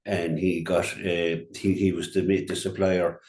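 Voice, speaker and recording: An Irish man giving an unscripted sports match review as a radio pundit, halting and repeating his words as he goes. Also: low voice, flat delivery, darkish tone. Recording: roomy, echoey sound.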